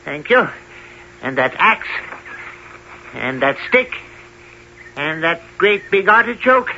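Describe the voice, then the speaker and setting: A man's voice: a little high, sing-song, slow, creaky, sharp tone, full character voice. A man playing a valiant little tailor in a radio folk tale, chatty, sly and cocky, with a jaunty theatrical delivery. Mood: busy, scheming. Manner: theatrical, talks to himself and others jauntily. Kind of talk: 1970s old-time radio drama acting. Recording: muffled, hissy 1970s radio recording.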